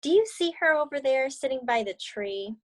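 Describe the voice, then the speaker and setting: A young woman reading a children's storybook aloud on a video call, expressive and encouraging as she chats about it. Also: high-pitched, normal speed. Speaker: read-aloud co-host, story reader.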